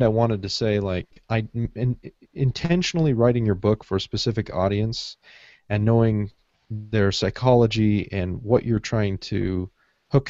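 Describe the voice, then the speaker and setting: A man's voice: lowish voice, darkish tone, no room sound. A man co-hosting a podcast, talking in a casual, conversational way. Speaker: podcast co-host. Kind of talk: casual panel chat. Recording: dull lo-fi online audio.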